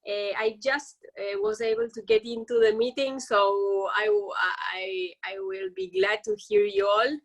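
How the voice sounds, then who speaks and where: A woman's voice: medium pitch, lively intonation, unhurried, gravelly, few pauses. A woman from Argentina in business development, introducing herself politely and hesitantly on a video call.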